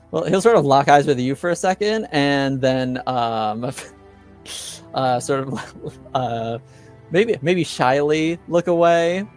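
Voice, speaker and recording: A man runs a tabletop game as game master, speaking slowly in a smooth, clear voice. He narrates tentatively, pausing to find each phrase, with faint music underneath. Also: medium pitch. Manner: halting, tentative, feeling for words.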